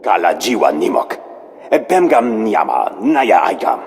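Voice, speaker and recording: An actor playing an alien science consultant in a video game, speaking a choppy invented tongue. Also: male voice, lowish voice, very animated, measured pace. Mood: explaining.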